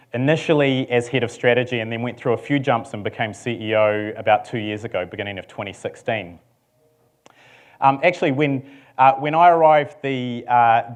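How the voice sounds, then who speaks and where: A middle-aged man with a New Zealand accent, a company CEO giving a relaxed conference presentation with dry humor and frequent ums. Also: medium pitch.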